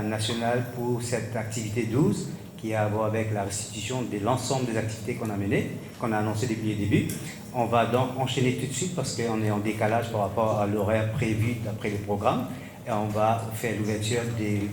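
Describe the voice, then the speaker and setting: A man speaking briskly and informally as co-moderator of a conference. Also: lowish voice. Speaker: national expert co-moderating a conference. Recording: echoey hall with background noise.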